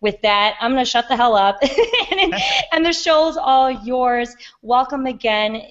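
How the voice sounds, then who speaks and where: A woman hosting a podcast interview in a bubbly, excitable way, her voice hoarse and quick to laugh. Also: sits high, muffled recording. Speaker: podcast host. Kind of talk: casual interview chat.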